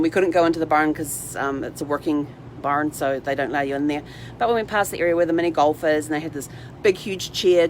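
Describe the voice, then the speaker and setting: Low-voiced woman chatting over background noise. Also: poor audio. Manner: chatty, informal, talks to her dog in asides. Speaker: vlog host. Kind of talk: casual rambling livestream chat. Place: inside a parked RV.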